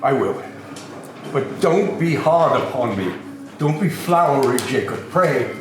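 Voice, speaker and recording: A man's voice: mid-range voice, lively intonation, a little slow, natural voice. A man in an amateur dramatic reading playing a frightened, pleading old miser, speaking in a theatrical, questioning way. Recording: very echoey room, clear background noise.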